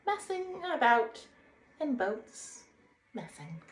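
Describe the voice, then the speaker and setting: A woman's voice: a little high, sing-song, slow pace, voice pushed up. A woman voicing a cheerful male water rat, a dreamy boating enthusiast, in an emphatic, hearty, rhapsodic manner for a children's storybook. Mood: dreamy, earnest. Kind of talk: children's storybook read-aloud. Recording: roomy echo, faint background noise.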